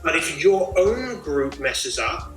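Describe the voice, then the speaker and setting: A man's voice: a little high, big pitch swings, slow pace, a bit bright, roomy sound, soft background music, rough recording. A man hosting a casual video chat, explaining his ideas at length in a thoughtful way. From Australia. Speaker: host.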